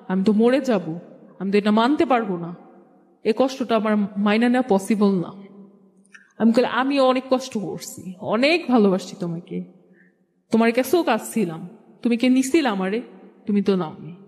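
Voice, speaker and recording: Young female storyteller, Bangladeshi accent, higher register, re-enacts dialogue colloquially, recorded with hall-like reverb. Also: normal speed, long pauses, darkish tone. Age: young adult. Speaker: guest telling own life story. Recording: long echoing hall reverb.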